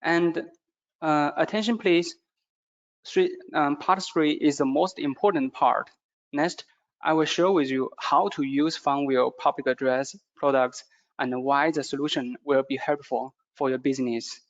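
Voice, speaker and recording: A man with a fairly high voice delivers a webinar-style product presentation. He is a non-native English speaker and hesitates often as he explains. The audio is somewhat dull and lo-fi. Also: fairly even intonation. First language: not English. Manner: halting delivery with frequent hesitations.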